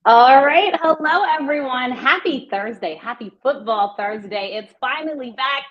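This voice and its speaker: An excited, upbeat woman hosting a chatty live sports talk show, her voice a little worn. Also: normal speed. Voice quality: voice worn and deeper than usual. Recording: slightly dull streamed audio.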